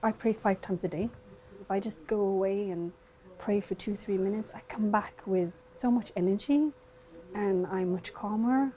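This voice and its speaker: A woman in her twenties, raised in Sweden, who works as a dentist. She speaks softly and reflectively in a documentary interview, with frequent fillers, at her dental practice. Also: mid-range voice, normal speed, poor audio. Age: 20s.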